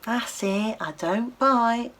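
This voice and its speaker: A woman's voice: on the high side, slow, voice pushed up. A woman voicing a brash, cheeky male toy llama with a Cockney accent for a storybook. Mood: coaxing. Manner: loud, bold, streetwise, familiar. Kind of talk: acted storybook character voice.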